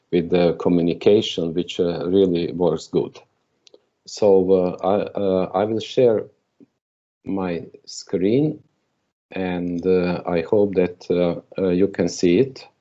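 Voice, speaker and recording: A man's voice: sits low, dark tone. A man from Croatia with a Croatian accent gives a conference keynote over a video call, hesitant and full of filler sounds. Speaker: keynote speaker. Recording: dull lo-fi video call audio.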